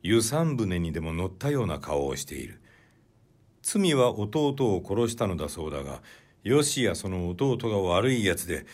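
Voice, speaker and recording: Low-voiced man narrating literary fiction. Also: darkish tone. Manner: measured, deliberate delivery. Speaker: audiobook narrator.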